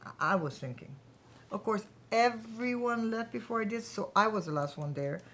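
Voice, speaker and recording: An actress plays a self-assured woman who runs a small company. She is chatty and polished, with a businesslike, self-promoting way of talking. It has the feel of a staged workplace satire, in a mid-pitched voice. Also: lively intonation, moderate pace, a bit bright. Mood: self-satisfied, chatty.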